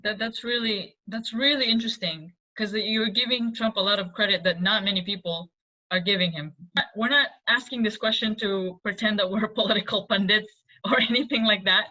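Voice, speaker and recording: A woman's voice: mid-range voice, fairly even intonation, moderate pace, pushed and bright. A woman moderating a roundtable discussion who asks questions with light, friendly laughter. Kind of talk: casual discussion. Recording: dull lo-fi audio.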